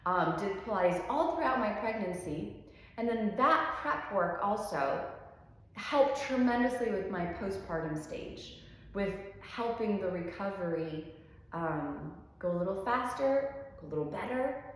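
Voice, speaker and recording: A woman's voice: medium pitch, lively intonation, a little slow. A woman in her thirties, a pilates instructor originally from France, talking about herself in an easygoing way with frequent ums and open enthusiasm. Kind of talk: relaxed personal introduction. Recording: very echoey room with long reverb.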